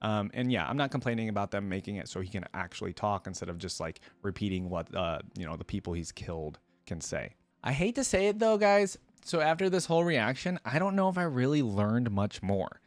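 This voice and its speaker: A man's voice: lowish voice, very animated, brisk, few pauses, no room sound. Casual man rambling, close dry sound. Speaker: reaction video host. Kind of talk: casual unscripted commentary to viewers.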